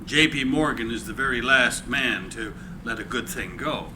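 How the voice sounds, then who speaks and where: A man's voice: mid-range voice, normal speed, lightly acted. A man playing an apologetic, evasive businessman, polite but firm, in a dramatic screenplay reading. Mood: apologetic, dismissive. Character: evasive, apologetic male businessman brushing off a petitioner. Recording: noisy live room recording.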